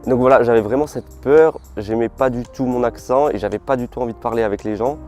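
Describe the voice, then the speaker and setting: A man's voice: mid-range voice, quick pace, soft background music. Young French man vlogging in a park. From France. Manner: relaxed, chatty, informal slang. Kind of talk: casual vlog talk to camera. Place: outdoors in a city park. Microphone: camera mic, filmed without full gear.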